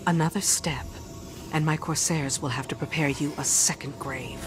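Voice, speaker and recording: Game role: stern female military commander, played by a woman, deep for a woman, commanding, music underneath. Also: fairly even intonation, moderate pace, close to an everyday voice. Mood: stern, threatening. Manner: firm, guarded, commanding. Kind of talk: dramatic video game acting.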